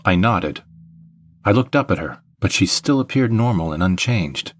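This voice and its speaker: A man's voice: deep voice, expressive, normal speed, dry recording, clean studio sound. A man reading first-person scripted narration for an audio drama.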